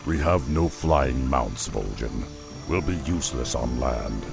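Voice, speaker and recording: Gruff half-ogre warrior, extremely low pitch, heavy gravel, music behind. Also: male voice, expressive, a little slow, heavily acted. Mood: grim. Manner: blunt, terse and gruff. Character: rugged male half-ogre beast hunter, blunt and loyal. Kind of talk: video game cutscene acting.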